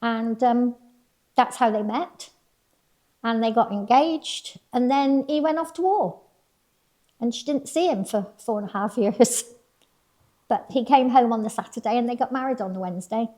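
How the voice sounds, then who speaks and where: A woman's voice: fairly high pitch, normal speed, mellow. An older woman from Weston-super-Mare chatting about her family's history, with some laughs and restarts. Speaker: local resident recalling family history. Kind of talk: casual personal reflection.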